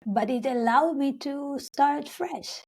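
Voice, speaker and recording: A woman's voice: sits high, slow. A young adult woman singer, a Hebrew speaker talking in English, telling her story in an interview with many fillers and restarts. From Israel-Palestine. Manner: rambling, many fillers and restarts, acts out her own past words.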